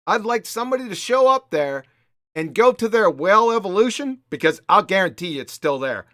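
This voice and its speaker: Mocking man with wildly swinging pitch. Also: a bit bright, no echo, studio quality. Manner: mocking, emphatic, repeats short phrases. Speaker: host of a live debunking show. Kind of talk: live panel commentary.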